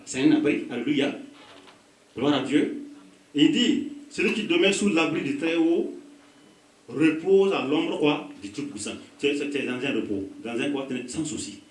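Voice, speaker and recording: A pastor with a West African French accent gives a call-and-response sermon, prompting the congregation to finish his phrases. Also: fairly high pitch. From Burkina Faso. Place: church hall. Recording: echoey hall, voice from a distance.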